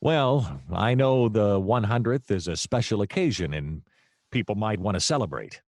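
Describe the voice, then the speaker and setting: An actor playing a wry, easily distracted private detective, narrating in a long-winded deadpan comic noir style. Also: male voice, on the low side, normal speed, no echo, clean studio sound. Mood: grumbling, wry.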